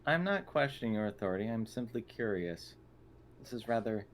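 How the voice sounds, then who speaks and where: A man's voice: fairly low pitch, animated. A man voicing a formal, coolly inquisitive aide in an improvised tabletop role-playing game. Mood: cool curiosity. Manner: formal, measured, deferential. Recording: faintly noisy online voice call.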